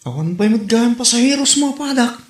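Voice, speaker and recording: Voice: mid-range voice, expressive, a little fast, close to an everyday voice. A performer playing a casual, teasing fellow tricycle driver in a comedy drama. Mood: nudging. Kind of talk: comedy radio drama acting. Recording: roomy echo, music behind.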